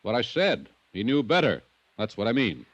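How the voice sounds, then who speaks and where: A man's voice: medium pitch, wildly swooping pitch, moderate pace, fairly bright tone, dry recording. An actor playing a middle-aged American ranch owner, a curt, irritable, combative father, in a 1950s radio drama. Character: gruff, defensive, hot-tempered ranch owner and stern father. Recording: dull, dry vintage radio recording.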